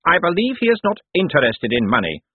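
A man's voice: fairly high pitch, wildly swooping pitch, a little fast, slightly rough, no room sound, narrow, tinny audio, pitched above the performer's own voice, full character voice. A man voicing a smug, scheming male heir in an audiobook, self-important, stern and oily in his politeness. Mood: strained composure.

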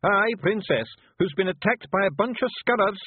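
A man doing a brash shouty Scottish fairy chief. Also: high-pitched, expressive, normal speed, slightly rough, no room sound, voice pushed up. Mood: flustered. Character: small fierce male Scottish-talking fairy chieftain. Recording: dry tinny phone-like sound.

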